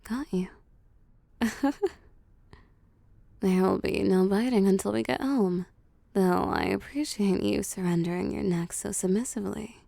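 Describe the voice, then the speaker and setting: A woman voicing a seductive, possessive vampire boss in an intimate audio roleplay, cooing and teasing softly and often slipping into whispers. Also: mid-range voice, a little slow, vocal fry, pauses often, no echo. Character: seductive, possessive female vampire CEO pampering her prey. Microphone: close, dry microphone.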